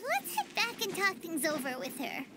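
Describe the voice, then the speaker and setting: A woman voicing a tiny, bubbly fairy companion in a game, chattering over loud music. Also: very high voice, very animated, measured pace, heavy background noise, heavily acted. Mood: exasperated.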